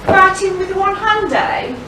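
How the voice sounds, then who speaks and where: A woman's voice: very high-pitched, expressive, a little slow. A woman playing a bold, teasing pantomime outlaw hero with theatrical, heroic delivery. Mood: bold, taunting. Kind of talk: stage pantomime acting. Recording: very echoey hall, distant mic, clear noise.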